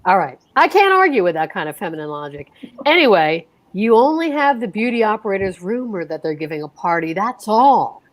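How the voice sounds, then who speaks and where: Woman as a sensible husband, very animated. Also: mid-range voice, fairly quick, lightly acted. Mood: resigned, reasoning. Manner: reasoning, mildly exasperated. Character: sensible, resigned husband of a fretful wife. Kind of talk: old time radio comedy acting.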